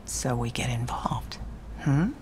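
An actress voicing a sultry, sly female criminal planner in a video game, breathy, smooth and teasing. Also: extremely low pitch, slow pace, a little mellow, a little hiss or hum. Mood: sly, seductive. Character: sultry, sly female crime boss's right hand. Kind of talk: cinematic video game acting.

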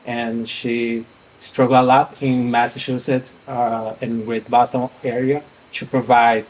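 A young man from Brazil speaks with a Brazilian Portuguese accent at a conference panel table, and his middle-pitched voice often stops short as he searches for words in a language that is not his own. Faint room ambience sits under his voice. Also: level tone, a little slow, darkish tone, slight background noise, poor audio. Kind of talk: panel presentation.

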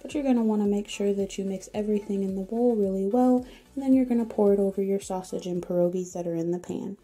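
A woman's voice: medium pitch, fast, dark tone. A woman hosting a home cooking channel, giving chatty, encouraging step-by-step recipe voice-over.

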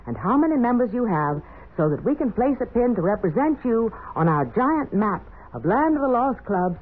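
A woman's voice: fairly high pitch, big pitch swings, moderate pace, slightly rough. A woman hosting a 1940s children's radio show, addressing listeners in a scripted style. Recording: tinny, phone-like 1940s radio recording.

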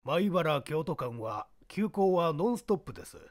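A shrewd baseball scout in a radio mystery, played by a man. He explains himself with worldly self-assurance, in a slightly high voice with lively intonation. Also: moderate pace. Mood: self-assured. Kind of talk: radio-drama style mystery reading.

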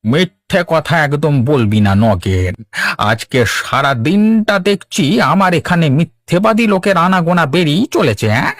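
A man's voice: on the high side, animated, normal speed, no echo, lightly acted. A man playing a hot-tempered, jealous fish trader in a cartoon, scornful and domineering. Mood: irritated. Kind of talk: Bengali cartoon dub.

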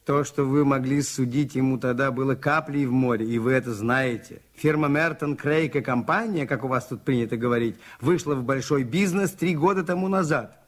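An actor playing a shrewd, calm priest detective in a 1980s Soviet radio drama, measured and politely insistent. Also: male voice, medium pitch, lightly acted.

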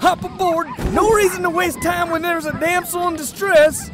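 A man's voice: extremely high pitch, sing-song, a little slow, background noise, heavily acted. A man voicing a folksy, scheming Old West outlaw who talks like a sly fibber, in a fan comic dub. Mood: slyly friendly.

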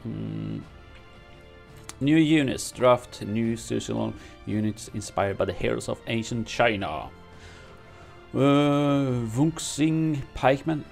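A man who is not a native English speaker, reading game patch notes aloud and commenting on them from his home streaming room, halting with many fillers and restarts. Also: mid-range voice, gravelly, a little mellow, faint music behind. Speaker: gaming streamer.

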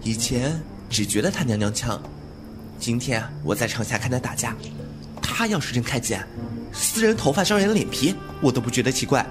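A man's voice: medium pitch, lively intonation, normal speed, music in the background, natural voice. A man playing a glib, cheeky young swordsman in a Chinese martial-arts radio drama, with a teasing, flippant manner. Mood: curious.

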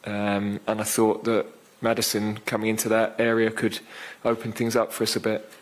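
A man in his fifties, a football manager answering questions at a post-match press conference, speaking in a measured, thoughtful way with many fillers and restarts into a desk microphone. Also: fairly low pitch, monotone.

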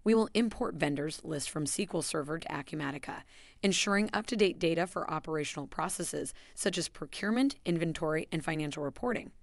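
A woman giving a scripted, even voice-over that walks through software steps. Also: low voice, quick pace, creaky, dry recording, studio quality. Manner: even, instructional delivery. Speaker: software tutorial narrator.

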